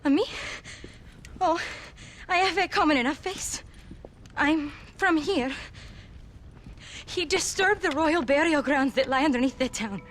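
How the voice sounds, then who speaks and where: A woman's voice: high-pitched, a little slow, long pauses, fairly bright tone. An actress playing a fearful young village woman with a Mexican accent in a video game, dramatic and ominous. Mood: earnest, evasive. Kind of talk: video game cutscene acting. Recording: faint background noise and ambience.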